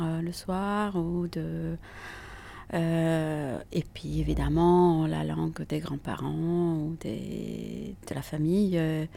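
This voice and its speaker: Woman, middle-aged, very slow, gravelly, mellow low voice, thoughtful and hesitant. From Italy. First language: Italian. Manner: thoughtful, unhurried, frequent hesitations. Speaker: interviewee. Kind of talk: reflective personal testimony.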